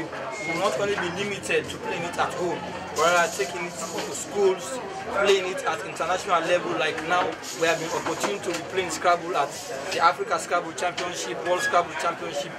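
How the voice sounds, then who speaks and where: High-pitched Sierra Leonean man, crowd behind. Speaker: sports association official being interviewed. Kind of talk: interview answers. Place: outdoor press conference in town. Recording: busy outdoor crowd noise behind.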